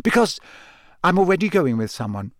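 A man voicing a lofty, sharp-tongued teenage girl in an audiobook, haughty and snappish. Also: fairly high pitch, sing-song, a little fast, dry recording, studio quality, voice pushed up. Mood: flustered. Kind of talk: audiobook character acting.

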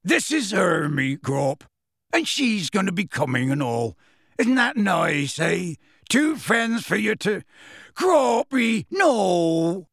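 A man putting on a huge, boisterous half-giant with a kind heart, bellowing in a raised, swooping voice. He coaxes slowly and drags his words out. The audiobook recording is dry and close. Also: high-pitched, sing-song, creaky, no room sound, voice pushed up, full character voice. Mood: coaxing. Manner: loud coaxing, slow and drawn out. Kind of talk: audiobook character voice.

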